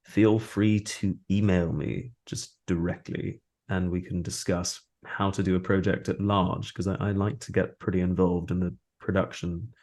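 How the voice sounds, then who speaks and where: A man chatting casually as an interview guest in a halting, thoughtful way. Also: low voice, flat delivery, vocal fry, a little mellow. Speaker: interview guest, voice actor and audiobook narrator.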